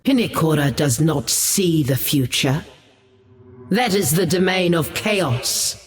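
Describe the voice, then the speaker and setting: A solemn lore-keeper from a fantasy game, with a somewhat high male voice. The delivery is slow, reverent and deliberate, and long reverb trails each phrase as in a great cavern. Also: animated. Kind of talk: solemn scripted lore narration.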